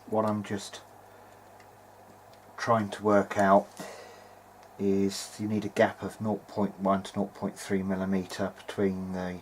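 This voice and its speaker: Bloke kinda muttering through a repair vid, lowish voice, bit of noise behind him. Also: male voice, completely flat delivery, slow pace. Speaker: vintage hi-fi hobbyist narrating own repair video. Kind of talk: informal hands-on repair video diary.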